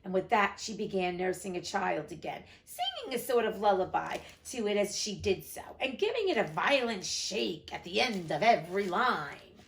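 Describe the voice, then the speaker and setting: A woman's voice: medium pitch, sing-song, normal speed, creaky, few pauses. A woman narrating a storybook theatrically, with casual, irreverent asides. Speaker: host reading a classic novel aloud.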